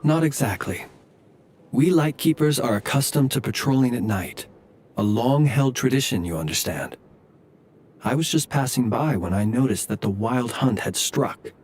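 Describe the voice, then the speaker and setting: An actor playing a courteous, guarded soldier on night patrol in a video game, formal and measured. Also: male voice, medium pitch, animated, a little mellow, natural voice. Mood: composed, formal. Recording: faint background ambience noise.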